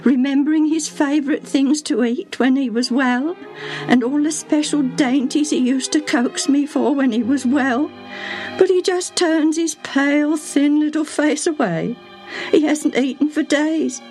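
A woman's voice: high-pitched, slow pace, darkish tone, faint music behind, voice pushed up, poor audio. A woman voicing a devoted, tearful older nursemaid in a storybook reading, talking in a fretful rustic way. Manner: sobbing, breathless, rustic turns of phrase.

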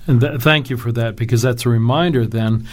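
A man hosting a Bible discussion program, reading a scripted opening and then interviewing a guest in an earnest, measured tone. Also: mid-range voice. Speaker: radio Bible program host.

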